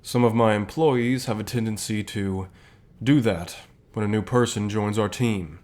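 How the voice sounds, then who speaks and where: A man playing a calm, reassuring company boss with gentle authority, speaking softly in measured phrases for an ASMR roleplay. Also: fairly low pitch, close to an everyday voice. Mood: gentle, earnest. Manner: measured, soft-spoken, deliberate pauses. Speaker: voice actor in an audio roleplay.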